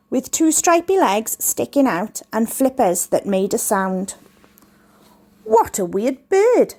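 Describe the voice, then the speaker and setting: A woman reading a rhyming story aloud to children in a measured, expressive way. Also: a little high. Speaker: school staff member reading a story.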